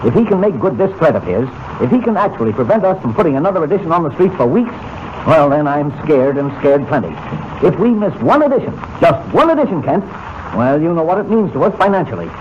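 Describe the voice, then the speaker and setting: An actor playing a gruff, worried middle-aged newspaper editor in an old-time radio drama. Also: male voice, fairly high pitch, sing-song, fast. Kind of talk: 1940s radio drama acting. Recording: muffled old radio broadcast with hiss.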